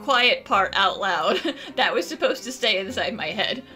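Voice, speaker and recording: A woman's voice: mid-range voice, animated, measured pace, bright, forceful tone, faint music behind. A woman giving casual, teasing, giggly commentary while playing a video game. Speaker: gaming streamer. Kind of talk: casual game playthrough commentary, reacting aloud.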